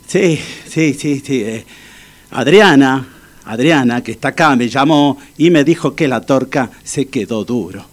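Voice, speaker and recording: A man's voice: medium pitch, expressive, unhurried. A man playing an agitated, flustered loyal friend in a comic audio drama, repeating himself. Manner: repetitive, flustered.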